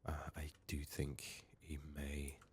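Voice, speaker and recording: A man giving soft-spoken ASMR game commentary, murmuring haltingly and slipping into whispers, his voice strained from being sick. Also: extremely low pitch, completely flat delivery, slightly rough. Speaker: ASMR gaming video host.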